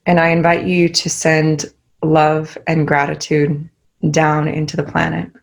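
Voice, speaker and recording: A young woman leading a guided meditation, giving soft, soothing instructions. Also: low-pitched, monotone, a little slow, creaky.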